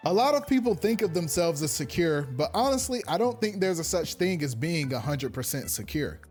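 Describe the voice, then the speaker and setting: A man in his twenties delivering scripted explainer narration in a conversational, earnest, advice-giving way. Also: on the high side. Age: 20s. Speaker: online video essayist. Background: background music.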